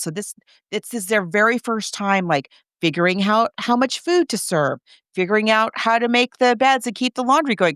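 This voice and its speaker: Clear-voiced woman, chatty podcast co-host. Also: medium pitch, a bit bright, dry recording, clean studio sound. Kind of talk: casual book discussion, recapping a story.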